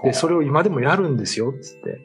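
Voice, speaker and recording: A man's voice: a little high, expressive, very fast. A middle-aged man telling stories in casual conversation, often relaying what other people said. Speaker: writer and show regular telling ghost stories. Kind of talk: casual storytelling chat. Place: small meeting room, indoors. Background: faint music.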